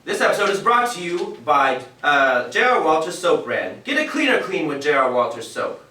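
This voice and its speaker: An actor playing an upbeat, formal old-time radio announcer in a live stage show, recorded roomily from afar. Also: male voice, on the high side, sing-song, moderate pace, pushed and bright, far from the mic. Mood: showy, welcoming. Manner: showy, formal presenting. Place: theatre stage, recorded from a distance. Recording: roomy stage recording from afar, some noise.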